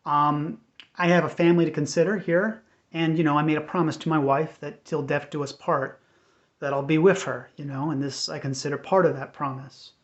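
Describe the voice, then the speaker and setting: An American man giving an unscripted personal update in a measured, earnest, hesitant way. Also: on the high side, level tone. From United States. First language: English. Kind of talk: unscripted personal talk to camera. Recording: dull, lo-fi sound.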